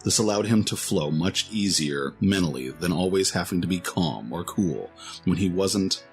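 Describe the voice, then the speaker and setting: Male narrator for scripted fantasy fiction; very low register, background music. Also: expressive, moderate pace.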